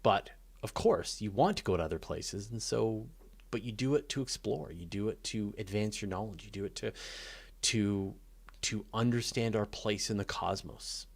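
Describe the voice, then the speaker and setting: A man with a fairly low voice hosts a casual live Q&A. He speaks off the cuff, working through his thoughts aloud. His delivery is informal and opinionated, with emphasis on his points. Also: normal speed, vocal fry, breathy edge. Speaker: host of a live space and astronomy Q&A.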